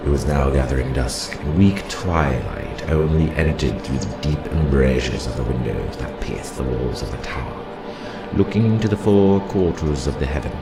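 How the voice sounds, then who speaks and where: A man's voice: very deep voice, animated, normal speed, reverberant, poor audio. A man reading literary fiction as a dramatic audiobook narrator, in a solemn, portentous manner. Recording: moody music soundscape behind the voice. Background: loud atmospheric music.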